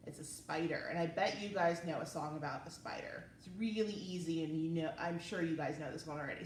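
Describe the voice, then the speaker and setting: A woman reads and chats to small children as a library story time presenter. Her delivery is very lively and singsong, with an encouraging way of addressing young listeners. The recording is roomy and somewhat distant, with faint noise behind her. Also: on the low side. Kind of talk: children's read-aloud and chat to young viewers.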